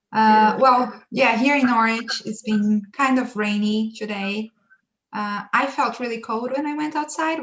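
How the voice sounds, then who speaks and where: Higher-voiced woman hosting video chat. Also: a bit bright. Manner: friendly, filler-heavy welcoming. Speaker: host, staff worker. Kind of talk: casual online chat. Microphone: video call. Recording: echoey, slightly dull video call.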